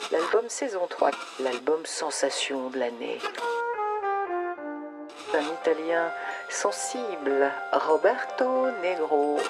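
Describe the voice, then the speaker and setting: A woman's voice: sits low, expressive, slow pace. A woman reading a scripted promotional narration as an announcer. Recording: loud music over the voice.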